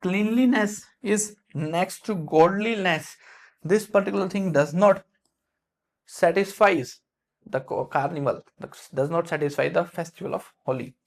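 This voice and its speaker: A male literature teacher speaks English with an Indian accent shaped by years in South India. His voice sits fairly high, with a gravelly, fry-touched texture. He explains concepts conversationally, full of fillers and self-corrections. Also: a little slow. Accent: Indian English with South Indian influence. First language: Hindi. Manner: explains in loose spoken English with many fillers and restarts. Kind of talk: explanatory lecture.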